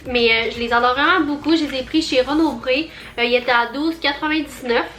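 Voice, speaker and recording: A woman's voice: sits high, brisk, a bit bright, echoey room, a little hiss or hum, poor audio. A young woman with a Quebec French accent, chatting through a casual haul vlog, bubbly and rambling with lots of fillers. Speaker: vlogger.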